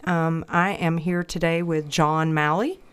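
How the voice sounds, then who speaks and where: A woman's voice: sits low, fairly even intonation, unhurried, clean tone, no echo. A woman hosting a podcast interview, slightly stuffy, speaking in an empathetic, probing way. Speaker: podcast host.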